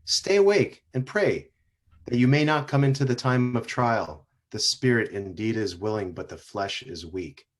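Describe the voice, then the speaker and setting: A man reading the part of Jesus in a church passion play, solemn and measured. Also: mid-range voice, natural voice. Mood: grave. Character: Jesus, grave calm male teacher. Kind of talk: dramatic scripture reading. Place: church sanctuary.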